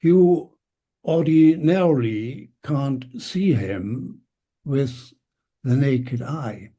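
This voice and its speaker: A man reading an English translation of a poem slowly and haltingly over a video call. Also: mid-range voice, expressive, mellow. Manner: slow, halting recitation. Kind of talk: poetry reading.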